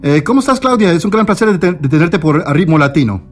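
A man's voice: a little high, lively intonation, fast talker, faint music behind. A man hosting a Spanish-language radio show, interviewing a guest with many fillers and restarts. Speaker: radio host.

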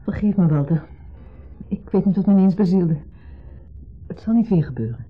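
A woman playing a conciliatory wife in a 1970s radio play, placating and earnest, sometimes hushed. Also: medium pitch, moderate pace, husky, close to an everyday voice. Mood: guarded. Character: conciliatory wife caught between husband and ex. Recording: muffled phone-like old radio recording.

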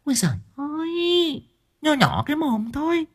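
A man voicing a sharp-tongued, scheming village wife who nags her husband and plots in low, coaxing tones. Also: expressive, moderate pace. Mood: conspiratorial. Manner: nagging, conspiratorial, whispers and cajoles.

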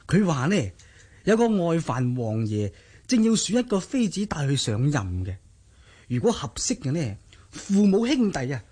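Husky, sly male schemer played by an actor. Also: fairly high pitch, very animated, moderate pace, mellow. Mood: scheming. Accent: Cantonese. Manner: sly, insinuating, chuckling schemer. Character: scheming younger uncle, sly, ruthless ringleader of a family plot. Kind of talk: Cantonese radio drama acting.

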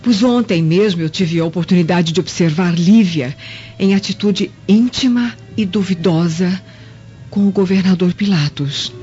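A woman's voice: fairly low pitch, moderate pace, dark tone, lightly acted. A woman playing a scheming, haughty Roman noblewoman in a radio drama, insinuating and theatrical. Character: scheming, venomous Roman noblewoman spreading slander. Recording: dull lo-fi radio drama, music behind.

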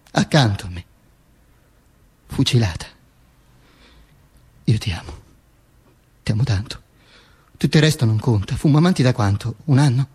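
A male actor playing a dead dictator's corpse as a bombastic, sardonic, macabre storyteller in a theatrical radio monologue, declaiming with halting stammers. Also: fairly high pitch, husky, heavily acted. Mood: mournful, ardent.

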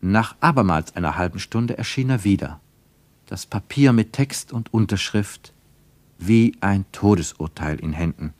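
A man's voice: fairly low pitch, animated, unhurried, a little mellow, no room sound. A man narrating a literary radio play in a dramatic, emphatic manner.

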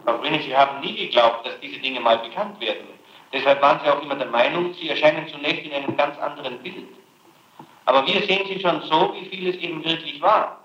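A man's voice: a little high, a little fast, bright, forceful tone. A man interrogating a suspect, admonishing and persistent in the way he addresses the person questioned. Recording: muffled echoey archival interrogation tape.

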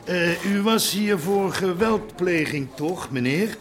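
A man's voice: high voice, animated, takes it slowly, soft background music. A man playing a chatty, officious desk policeman in a radio drama.

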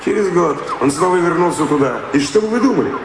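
An actor as a swooping, sly seafaring vagabond. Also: male voice, fairly high pitch, animated, quick pace, roomy sound, a loud crowd, very noisy, natural voice. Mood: enticing, dreamy. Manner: sly, expansive storyteller, savouring words. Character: roguish, teasing seafaring vagabond spinning tall tales. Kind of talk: theatrical radio drama acting.

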